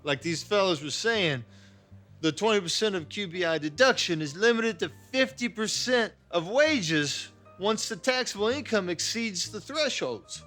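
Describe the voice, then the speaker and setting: A man's voice: high voice, lively intonation, moderate pace, fairly bright tone, faint music behind. A man playing a hesitant, rambling bar patron. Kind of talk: noir parody acting.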